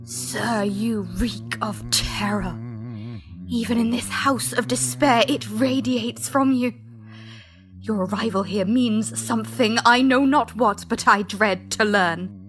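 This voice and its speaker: A haunted, sickly gothic woman in a radio drama. Her fairly high voice moves very slowly, ominous and trance-like, with faint music underneath. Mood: ominous, accusing. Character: eerie, haunted, sickly sister of a gothic household.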